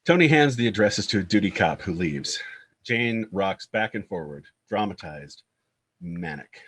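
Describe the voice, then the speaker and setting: A man's voice: deep voice, animated, measured pace, fairly bright tone, slightly rough. A man reading screenplay stage directions as narrator, in clipped, descriptive scripted phrases.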